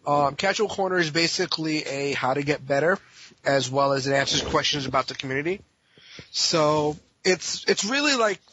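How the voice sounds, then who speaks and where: A man's voice: a little high, a bit bright. A man speaking as a podcast guest in casual, informal, slangy chat. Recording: dull audio with faint noise.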